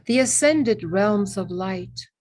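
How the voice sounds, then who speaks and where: A woman leading a spiritual guided meditation in a gentle, soothing, reverent manner, with long pauses between short phrases. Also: mid-range voice, animated. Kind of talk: guided meditation with ASMR elements.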